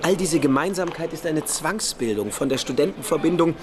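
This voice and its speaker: An actor playing a calm, prophetic young man who speaks solemnly and with assurance in a German radio play. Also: a little high, fairly quick, crowd noise, close to an everyday voice. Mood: grave, prophetic.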